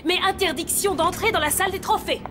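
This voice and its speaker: A woman voicing a bossy, scolding household overseer in a French animated series. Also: very high-pitched, brisk. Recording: clear background noise.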